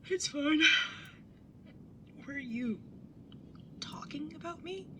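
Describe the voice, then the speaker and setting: A woman's voice: high voice, expressive, slow, background noise. A woman playing a laid-back, blunt older sister in her young adulthood, casual and teasing, in a naturalistic audio drama. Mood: groggy, easygoing. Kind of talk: naturalistic audio drama dialogue and first-person narration.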